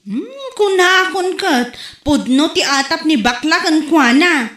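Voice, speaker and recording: A woman's voice: sits high, very animated, slow, a bit bright, echoey room. A woman playing a gossiping neighbor in a comedic audio drama, sounding scandalized.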